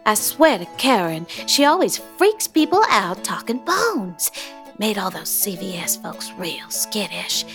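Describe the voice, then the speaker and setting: Gushing, oddball sweetie with affected dialect. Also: female voice, mid-range voice, sing-song, unhurried, music in the background. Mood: breezy, odd. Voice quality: affected, unplaceable folksy dialect. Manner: gushing, repeats words, quirky endearments. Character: eccentric sweet-talking, sinister young woman in nightgown. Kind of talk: horror comedy radio play acting.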